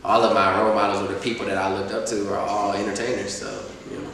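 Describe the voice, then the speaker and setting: Young male rapper, relaxed rambling talker, lowish voice; recorded far from the mic in a reverberant room with faint noise. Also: quick pace. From Atlanta, US. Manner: laid-back, rambling with many fillers. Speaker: rapper guest. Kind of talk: casual interview chat.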